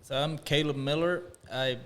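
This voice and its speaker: A man from Costa Rica chatting casually as an interview guest, modest and a little halting. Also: on the high side, fairly even intonation, fairly bright tone. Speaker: shed company co-owner, interview guest. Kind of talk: casual chat.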